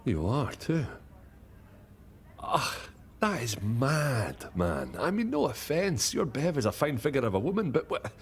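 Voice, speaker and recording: A man's voice: mid-range voice, very animated, a little slow. An actor playing a blunt, cheeky, matey young man in a radio soap. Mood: incredulous.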